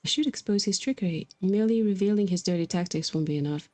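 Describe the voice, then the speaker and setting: A woman's voice: mid-range voice, fast, warm tone. A woman livestreaming a video game, chatting casually and reading dialogue aloud in a musing, hesitant manner. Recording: music behind the voice. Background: game music.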